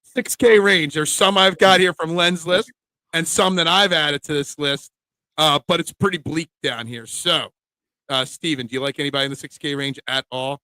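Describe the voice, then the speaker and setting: A man's voice: on the high side, fairly even intonation, moderate pace, sharp tone, no echo, poor audio. A man hosting a casual sports betting preview show, chatting with his co-hosts.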